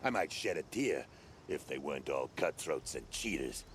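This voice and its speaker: An actor playing a sarcastic, bitter mercenary crew leader in a video game, grumbling colourfully. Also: male voice, a bass voice, lively intonation, moderate pace, slight background noise. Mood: sarcastic, aggrieved. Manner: sardonic, colourful folksy grumbling. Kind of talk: video game cutscene dialogue acting.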